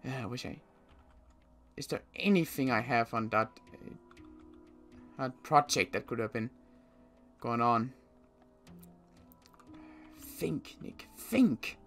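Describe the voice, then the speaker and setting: A man's voice: mid-range voice, takes it slowly, pauses often, a bit bright, soft background music. A man playing a suspicious, agitated apocalypse survivor in improvised game roleplay, muttering to himself with stammers and repeated words. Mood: pondering, muttering.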